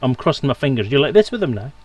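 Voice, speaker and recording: A man's voice: medium pitch, big pitch swings, racing, breathy edge. A man hosting a livestream with jokey, playful, casual banter. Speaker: livestream host.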